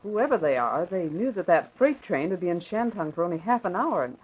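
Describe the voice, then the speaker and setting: A woman's voice: on the low side, animated, fairly quick, husky. An actress playing an earnest, thoughtful woman in a 1940s radio drama, on a tinny old recording. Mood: puzzled, earnest.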